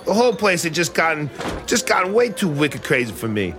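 An actor playing a brash young man from Boston with a Boston accent, telling a wild story excitedly. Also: a little high, brisk, faint music behind.

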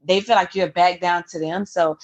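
A woman's voice: lowish voice, fairly even intonation, normal speed, highs cut off. A young adult woman, a guest author, tells her life story in a podcast interview, earnest and reflective, often restarting her sentences. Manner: earnest, reflective, many restarts and "you know".

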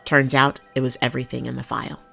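Candid, dutiful policewoman for crime audio drama; crisp brightness with some fry, conversational delivery. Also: very deep voice, level tone, fast talker, faint music behind, full character voice. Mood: matter-of-fact, candid. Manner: deferential but frank, conversational. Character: loyal, candid female police officer reporting to her captain.

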